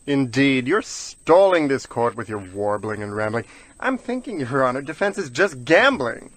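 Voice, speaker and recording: A man's voice: mid-range voice, sing-song, moderate pace, fairly bright tone, no echo. A man playing a pompous cat defense lawyer, impatient, sardonic and dismissive, in a rhymed comic story. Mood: dismissive, objecting.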